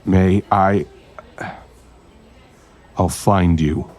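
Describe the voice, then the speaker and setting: A grim investigator in an audio drama, with a very low voice and slow, deliberate delivery. He is terse and protective. Some noise sits behind him. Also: steady tone, darkish tone. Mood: tense, protective.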